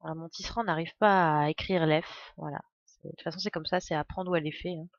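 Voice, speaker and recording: A woman's voice: deep voice, steady tone, fast, no echo, muffled recording. A woman giving casual, chatty, jokey live commentary as a game streamer.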